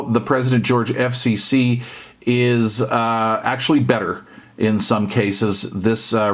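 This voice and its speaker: A middle-aged or older man giving a casual, off-the-cuff product review in a conversational way, with hesitant pauses. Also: medium pitch. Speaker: CB radio hobbyist and reviewer.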